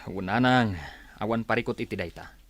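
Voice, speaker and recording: A man's voice: on the low side, brisk, fairly bright tone, no echo, close to an everyday voice. Man acting an earnest father, dry close recording. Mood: earnest, concerned. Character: earnest, worried father providing for his child. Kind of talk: audio drama acting.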